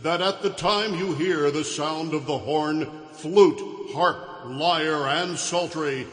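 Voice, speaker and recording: Echoing, slow royal herald. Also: male voice, on the high side. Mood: proclaiming. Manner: slow, loud-projected proclamation. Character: male royal herald crying out a command. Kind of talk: dramatized scripture reading. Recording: long echoing reverb.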